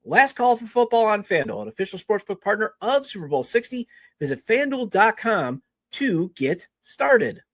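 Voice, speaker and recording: Male podcast promo reader. High-pitched voice, scripted sponsor copy, dry studio-like sound. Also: sing-song, normal speed, a bit bright, no room sound. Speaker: sports podcast host reading promos. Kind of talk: scripted ad read.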